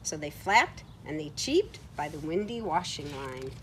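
A middle-aged woman reading a picture book aloud to children in an expressive way, recorded outdoors. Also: deep voice, unhurried. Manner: expressive, acting out short quoted words. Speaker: children's story time reader. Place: outdoors on a farm, beside horses. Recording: outdoor ambient noise from camera mic.

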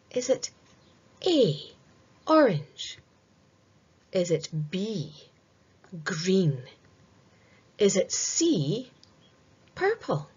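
Middle-aged female quiz reader for children: very slow, sing-song delivery, lively pitch, slightly muffled webcam sound. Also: husky. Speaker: quiz presenter reading aloud. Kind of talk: read-aloud multiple-choice quiz for children. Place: small room indoors.

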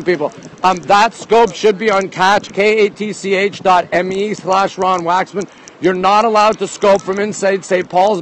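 High-pitched excitable man streaming outdoors. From Montreal, Canada. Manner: excitable, repeats phrases, replies to viewers in short bursts. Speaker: livestream host. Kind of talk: casual live walking tour chat. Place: outdoors on a city bridge by a river. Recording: windy outdoor phone, ambient noise. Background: wind and light outdoor noise.

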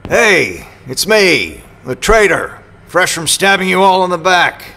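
A man's voice: high-pitched, sing-song, a little slow, gravelly, bright, forceful tone, in a large hall, heavily acted. An actor playing a roguish, sarcastic assassin mercenary who teases his way through an audio drama. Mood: teasing. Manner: sarcastic, teasing. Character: sardonic, roguish male assassin mercenary, mock-wounded and teasing.

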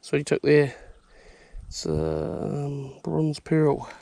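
A young man with a New Zealand accent giving excited, slangy running commentary while fishing outdoors at a pond, recorded on an action camera. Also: a little high, drawn-out words, creaky, no room sound. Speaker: fishing vlogger. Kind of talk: casual outdoor vlog commentary. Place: outdoors by a high country pond. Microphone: action camera mounted on the body.

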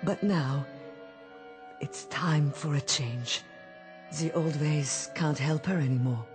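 A woman playing a caring, resigned robot maidservant in a radio drama, gentle and deferential. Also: sits low, slow, music in the background. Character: devoted, caring female robot servant, resigned.

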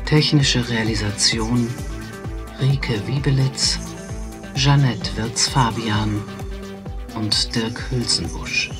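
A man's voice: medium pitch, slow pace, pauses often, music in the background. A man reading scripted radio credits as an announcer, in a formal, neutral manner.

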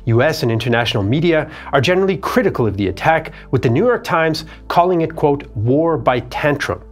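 Male history host, 30s, expressive scripted read; light music bed under the voice. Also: a little high, fairly quick. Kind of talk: scripted documentary narration. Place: dark studio set.

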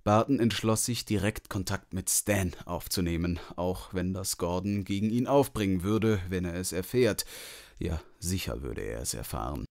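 A man's voice: low-pitched, animated, normal speed, vocal fry, a little mellow. A man reading scripted narration from a novel. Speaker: audiobook narrator.

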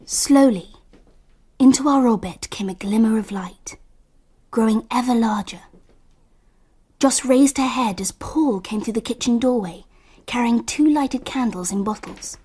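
An actress playing an observant, wistful narrator in a radio drama, telling the story in a measured, breathy voice. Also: female voice, on the high side, mellow.

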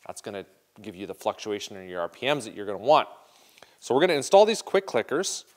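A man's voice: fairly low pitch, very animated, fast talker. A man in his forties runs a casual how-to demo in an indoor garage workshop. He talks straight to the viewers in an upbeat, easygoing way, recorded on a lapel mic. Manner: upbeat, direct, talks to viewers as "you guys". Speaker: show host demonstrating snowmobile parts.

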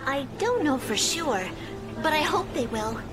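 An earnest young hedgehog girl, the caring heroine of a video game. Her voice is high and swings expressively with every phrase. Music plays clearly behind her. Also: big pitch swings, unhurried. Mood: hopeful. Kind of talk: video game cutscene acting.

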